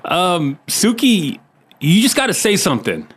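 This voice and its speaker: A man's voice: high-pitched, big pitch swings, a little slow, breathy edge. A man in casual podcast chat, eagerly prodding his friends to do bits.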